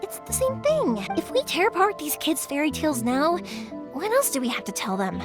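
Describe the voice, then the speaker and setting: An actress voicing a cheerful, spirited young woman who makes fireworks, talking in a lively, chatty, big-sisterly way. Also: high voice, moderate pace. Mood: earnest. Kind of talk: game voice acting. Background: game music.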